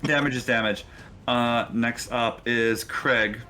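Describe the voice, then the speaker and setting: A man's voice: medium pitch, fairly even intonation, slow pace, sharp tone, soft background music. A man narrating a tabletop game as game master, improvising and talking players through rules and turns.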